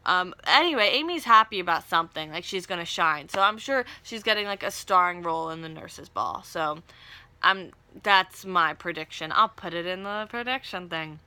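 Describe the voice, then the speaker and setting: A woman's voice: lowish voice, lively intonation, normal speed, pushed and bright, smooth, no echo. A woman hosting a casual, chatty TV soap recap, with wry asides and slangy, conversational paraphrasing. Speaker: video recap host.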